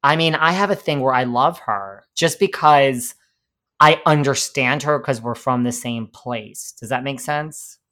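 A man's voice: mid-range voice, measured pace, sharp tone. Chatty man with a slight New York accent. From Connecticut and New York. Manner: chatty, rambling, campy asides. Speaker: podcast guest. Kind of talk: casual interview chat.

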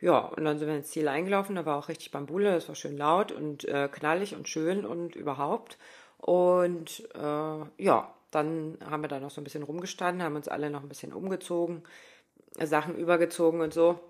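A woman's voice: low voice, steady tone, a little fast. A woman in her thirties talking casually in a spoken diary, rambling with lots of fillers and asides. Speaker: running podcaster.

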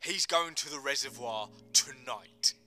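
Man acting menacing gangland boss, hard and forceful. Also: mid-range voice, unhurried, pitched above the performer's own voice. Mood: angry, commanding. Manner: forceful, commanding, accusatory. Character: menacing, enraged male gangland boss, cold and domineering. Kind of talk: dramatic screenplay reading.

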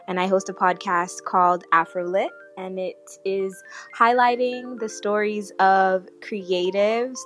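Unhurried woman chatting casually on a panel. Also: fairly low pitch. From Kenya, Ghana and New York. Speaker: podcast host, panelist. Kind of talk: casual self-introduction. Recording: live audio-app chat, faint music.

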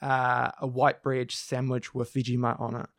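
A man co-hosting a podcast with a croaky voice, explaining things at length in a casual chat with frequent restarts. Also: mid-range voice, little pitch movement, moderate pace, dry recording, studio quality. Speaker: podcast co-host. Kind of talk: casual discussion.

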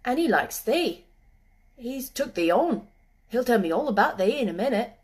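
A woman's voice: fairly high pitch, animated, moderate pace, close to an everyday voice. A woman voicing a cheerful, friendly moorland boy in a storybook, with a Yorkshire accent and easy, earthy dialect speech. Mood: friendly, assured.

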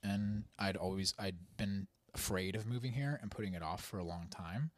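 A man in his twenties with a lowish voice, talking in a relaxed podcast chat. He hesitates and leans on fillers like "you know" and "like". The sound is dry and close, with no room echo. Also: normal speed. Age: 20s. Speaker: actor and podcast guest. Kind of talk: casual chat.

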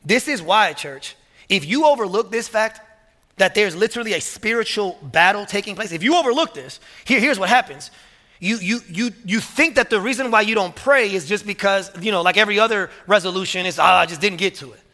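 A man preaching a sermon as pastor to his church, energetic and emphatic, often repeating words as he builds to strong points. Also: sits high, brisk. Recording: long hall reverb.

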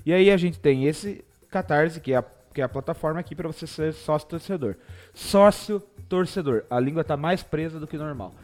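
Higher-pitched Brazilian man hosting football chat. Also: moderate pace, a little mellow. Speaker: host of a football talk show. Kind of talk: casual sports chat on a live stream. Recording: background music behind the voice.

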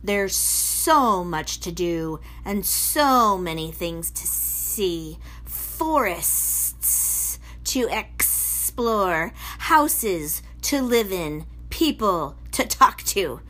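Lady doing a creepy giant guy, mocking and slow, drags every word out. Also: sits high, lively intonation, pushed and bright, heavily acted, low-quality recording. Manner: slow, drawn-out, taunting. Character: sinister, mocking giant man.

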